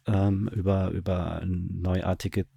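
A man interviewed as a radio drama director, talking casually with a halting, self-correcting delivery. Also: low voice, flat delivery, vocal fry, dark tone, slightly rough, no room sound.

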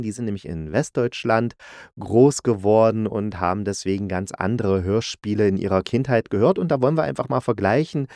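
A man's voice: on the low side, darkish tone, no echo, clean studio sound. A middle-aged man from East Germany giving a casual, colloquial and enthusiastic review as a podcast host. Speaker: audio drama critic, podcast host.

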